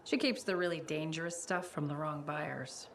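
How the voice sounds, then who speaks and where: A woman's voice: sits low, moderate pace. An actress playing a guarded female spy handler who talks in a terse, matter-of-fact way. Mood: businesslike. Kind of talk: video game dialogue.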